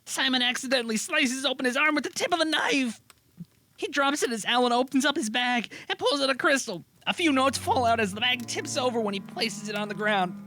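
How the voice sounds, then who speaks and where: A man's voice: very high voice, a little fast, bright, forceful tone. A man narrating a scripted amateur audio drama, describing the action in the present tense.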